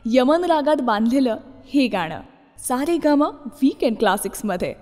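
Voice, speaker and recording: A woman's voice: sits high, lively intonation, normal speed. A woman presenting a scripted radio show as its host. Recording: long reverb, music behind. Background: soft music.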